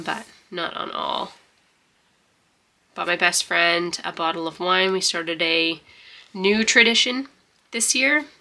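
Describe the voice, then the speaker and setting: A woman's voice: on the low side, gravelly, pushed and bright. A young woman with a stuffy, congested voice, chatting casually and rambling through a journal flip-through. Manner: rambling, chatty, many fillers and restarts. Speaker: journaling vlogger.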